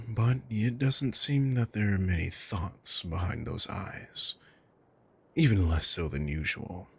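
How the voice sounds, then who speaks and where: A man playing a coolly mocking, possessive villain in an ASMR roleplay, with a husky, breathy voice and a deliberate, menacing drawl. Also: deep voice, darkish tone, exaggerated voice. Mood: impatient, commanding. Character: sadistic male villain, coolly mocking, possessive, taunting his captive. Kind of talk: ASMR roleplay audio drama.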